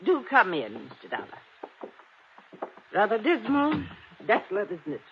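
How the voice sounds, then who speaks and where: A man's voice: high voice, wildly swooping pitch, moderate pace, background noise, full character voice. A prim old housekeeper, a woman guarding her house in a 1950s radio drama. The voice is raised and swoops expressively, with formal, genteel phrasing. The old recording is slightly dull. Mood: formal, guarded.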